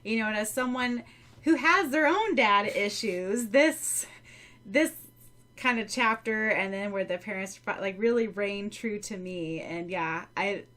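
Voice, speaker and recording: A woman with a medium-pitched voice chats as a podcast co-host. She is agreeable and enthusiastic, talking about a story in loose, everyday phrasing. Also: a little slow, fairly bright tone. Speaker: podcast co-host and audiobook narrator. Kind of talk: casual chat about a story.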